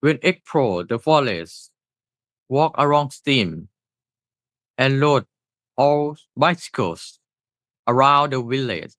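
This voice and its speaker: An eighteen-year-old man with a Thai accent, reading a scripted class presentation slowly and carefully. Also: mid-range voice, animated, fairly bright tone, no room sound. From Thailand. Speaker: student presenter.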